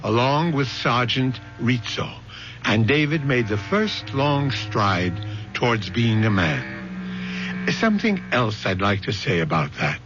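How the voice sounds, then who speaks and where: An older man closing a radio mystery show as host, measured and moralizing. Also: medium pitch, big pitch swings. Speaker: producer-director and show host. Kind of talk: scripted closing narration. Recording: dull old radio broadcast with music.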